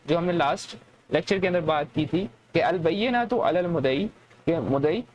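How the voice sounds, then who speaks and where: Slow-paced lecturer with a Pakistani accent. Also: medium pitch, a little hiss or hum, low-quality recording. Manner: methodical, explanatory, switches between Urdu and English terms. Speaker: law lecturer. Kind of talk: explanatory lecture, reading statute and explaining it.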